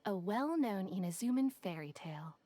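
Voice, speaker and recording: A woman's voice: medium pitch, lively intonation, moderate pace, warm tone, natural voice. A woman playing a shrewd, self-assured young detective in an anime game, composed, knowing and businesslike. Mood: storytelling. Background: game music.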